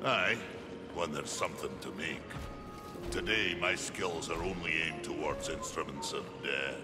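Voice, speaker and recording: A very low-voiced giant blacksmith for a video game, gruff and weary. He speaks gravely in old-fashioned phrasing while loud music swells underneath. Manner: grave, deliberate, archaic phrasing. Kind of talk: video game character acting.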